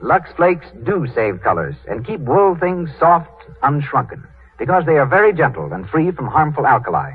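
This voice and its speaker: A man's voice: on the high side, sing-song, moderate pace, husky. A man with an American accent reading scripted announcements and advertising as a 1930s radio announcer. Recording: muffled, narrow 1938 radio broadcast.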